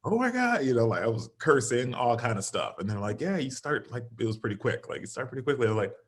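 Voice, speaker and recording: A man's voice: medium pitch, fast, husky. A man being interviewed over a video call, talking casually and animatedly, laughing often and acting out what he said. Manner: animated, laughs often, quotes himself in lively bursts. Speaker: interview guest, stage actor and singer.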